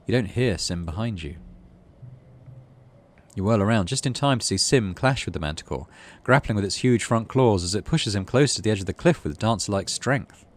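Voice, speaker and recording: Low-voiced British man, lively intonation. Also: normal speed. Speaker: gaming channel host. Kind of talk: casual playthrough commentary, reading game text aloud.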